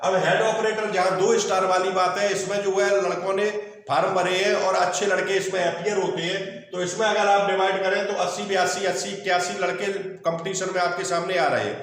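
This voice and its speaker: A very echoey, distant recording of a man. His voice is high for a man. He explains point by point like a coaching teacher, instructive and reassuring, dropping English terms into his speech. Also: level tone, brisk, a bit bright. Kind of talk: explanatory online lesson, point by point. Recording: very echoey room, distant mic.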